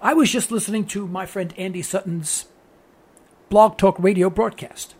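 A man's voice: sits high, fairly even intonation, normal speed, darkish tone, slightly rough. A man with a New York accent hosting a political talk radio show, delivering an earnest, preachy monologue that repeats phrases for emphasis.

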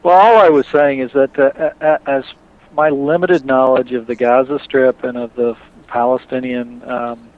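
A man calling in to a radio show over a Skype call, speaking haltingly as he searches for words. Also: mid-range voice, fairly even intonation. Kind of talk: casual phone-in talk. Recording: muffled Skype call line.

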